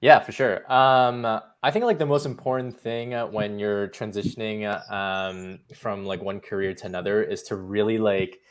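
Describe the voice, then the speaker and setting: A man with a fairly low voice talks casually on a panel. He is informal and halting, peppering his advice with filler words. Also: fairly even intonation, smooth. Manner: informal, halting, heavy use of "like". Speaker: panelist, product designer.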